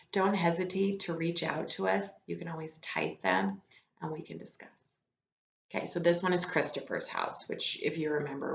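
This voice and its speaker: Low-voiced woman reading aloud, echoey room. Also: creaky, slight background noise. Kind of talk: expressive storybook read-aloud with casual asides. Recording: echoey room, slightly dull lo-fi sound.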